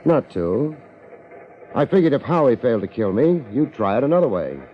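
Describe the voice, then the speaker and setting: An actor playing a wry, hardboiled American private investigator, wisecracking drily in a 1950s radio detective drama. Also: male voice, mid-range voice, very animated, moderate pace, lightly acted. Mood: sardonic. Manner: wisecracking, dry, sardonic. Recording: muffled 1950s radio recording.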